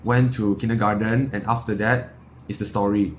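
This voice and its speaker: A man's voice: lowish voice, steady tone, moderate pace. Young Malaysian man with noisy audio. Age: late teens. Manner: blunt, sweary, emphatic. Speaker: self-improvement vlogger. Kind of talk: unscripted personal opinion talk to camera.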